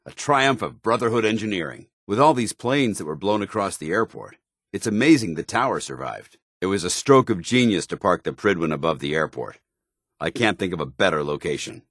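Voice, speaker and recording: Deep-voiced, stern military paladin. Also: male voice, lively intonation, fairly quick, fairly bright tone, no room sound, clean studio sound, heavily acted. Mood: matter-of-fact, wary. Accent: American. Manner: formal, clipped, earnest military delivery. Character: stern, zealous military paladin, loyal armored soldier companion. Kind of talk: video game companion dialogue.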